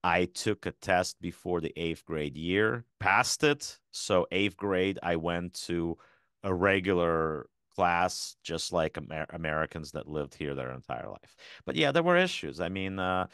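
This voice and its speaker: A man with a very low voice who grew up in Ukraine and speaks Russian as his first language talks through his points as a podcast guest, often tacking on quick tag questions. The recording is dry and close, with no room sound. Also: expressive, fairly bright tone, smooth, dry recording. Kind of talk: casual interview talk.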